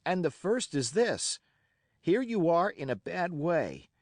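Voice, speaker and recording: A man voicing a bold young cabin boy who speaks in an excited, defiant rush. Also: mid-range voice, unhurried, no echo, studio quality, voice pushed up. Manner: breathless, rising, defiant pleading.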